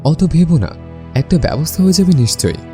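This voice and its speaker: A man's voice: a little high, very animated, quick pace, dark tone, soft background music. A man voicing a friendly, sensible male bird in a cartoon, with a mild, earnest manner. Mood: concerned, welcoming. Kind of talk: scripted cartoon dialogue.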